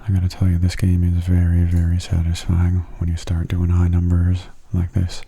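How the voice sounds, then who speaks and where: A man giving soft-spoken ASMR video game commentary in a breathy, hushed, often half-whispered voice, gentle and understated. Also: sits low, monotone, moderate pace.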